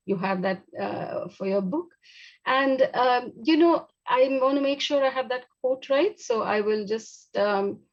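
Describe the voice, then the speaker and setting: A woman moderating a literary panel in a halting, conversational way. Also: on the high side, highs cut off.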